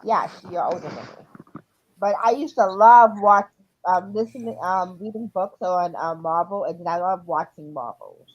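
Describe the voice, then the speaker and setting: A woman in her forties chatting casually on a live stream, rambling and filling pauses with ums. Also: on the low side, dull audio. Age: 40s. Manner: rambling, many ums while listing. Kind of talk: casual chat.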